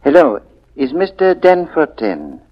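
A muffled old radio recording of a mild doctor with a high-pitched voice. He sounds hesitant and apologetic, in 1940s radio drama style. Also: huge pitch swings, measured pace, a little hiss or hum. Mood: apologetic, concerned.

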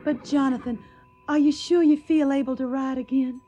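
A woman's voice: sits high, fairly even intonation, normal speed, warm tone, faint music behind, natural voice. A woman playing an earnest, emotional young woman in a radio drama. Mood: tender, concerned. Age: young adult. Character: earnest, tender young Southern woman in wartime.

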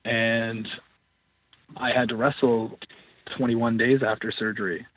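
A professional wrestler, a man, answering interview questions in a steady, matter-of-fact way. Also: medium pitch, unhurried, breathy edge, dry recording. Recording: tinny dry phone line.

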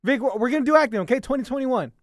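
Very high-pitched, animated male podcast host. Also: very fast, no room sound, clean studio sound. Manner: teasing, coaxing, repeatedly addresses co-host. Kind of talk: casual chat, banter.

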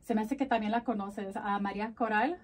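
A woman's voice: medium pitch, steady tone, fast talker, distant mic. A woman from the United States whose first language is Spanish, answering casually as a podcast guest and mixing Spanish and English mid-sentence. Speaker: podcast guest, community advocate. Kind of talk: casual bilingual interview answers.

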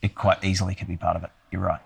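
A man with a croaky, hoarse voice from a cold, chatting casually with dry, wry humor. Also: low voice, little pitch movement, very fast, no room sound. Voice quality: croaky, gravelly voice. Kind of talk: casual chat. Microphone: held too far from the mic.

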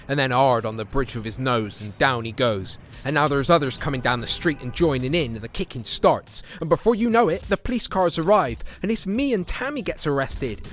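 Casting: weary young British rough sleeper, lightish high voice, bitter storyteller in a radio drama. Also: male voice. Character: young homeless man, weary, bitter and doubtful. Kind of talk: audio play monologue and dialogue.

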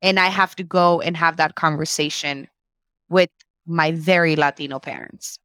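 A woman's voice: low-pitched, fairly even intonation, moderate pace, a bit bright, no room sound. A woman, reflectively telling her story as an interview guest and slipping Spanish phrases into her English. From Mexico.

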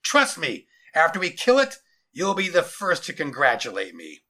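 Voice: mid-range voice, huge pitch swings, moderate pace, bright, forceful tone, voice pushed up, heavily acted. A storybook narrator voicing a menacing, self-righteous military general who speaks in a commanding, condescending way. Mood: fierce, smug. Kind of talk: dramatized storybook character voice.

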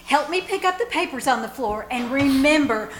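An actress playing a bossy, gossipy wife in old-time radio comedy style. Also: female voice, sits high, lively intonation, moderate pace. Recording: echoey live room, faint noise.

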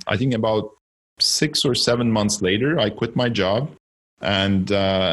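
A man's voice: fairly low pitch, husky, low-quality recording. A man in his forties from Turkey, a startup founder telling his story as an interview guest, reflective and often correcting himself mid-sentence. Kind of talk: conversational interview.

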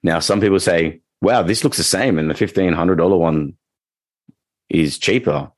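Low-voiced man rambling about dog training. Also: normal speed, no room sound, studio quality. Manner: rambling, frequent restarts and fillers. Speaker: dog trainer and podcast co-host. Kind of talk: casual podcast chat.

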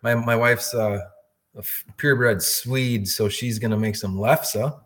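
A man's voice: mid-range voice, fairly even intonation, a little slow, fairly bright tone. A man in his fifties from Wisconsin, a podcast guest talking in a friendly, rambling way. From Wisconsin, USA. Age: 50s. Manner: friendly, rambling, repeats words. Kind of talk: casual interview talk.

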